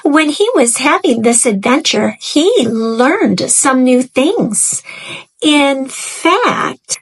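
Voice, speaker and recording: A woman reads aloud to small children in a high voice. Her storybook narration is very slow and deliberate, with expressive, simple phrasing. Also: low-quality recording. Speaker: children's read-aloud host.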